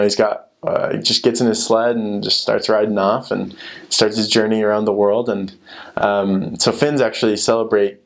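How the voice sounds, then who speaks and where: Young adult man, American accent, low pitch, fairly even intonation, casual rambling chat, filler-heavy. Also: vocal fry. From United States. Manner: rambling, informal, frequent "like" and "you know". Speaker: former missionary sharing experiences.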